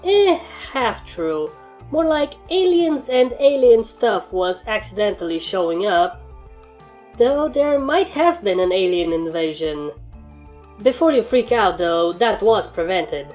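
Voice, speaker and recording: A woman voicing a world-weary alien turned pony in a dry, deadpan, matter-of-fact way for a fan fiction reading. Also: mid-range voice, takes it slowly. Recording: music behind the voice.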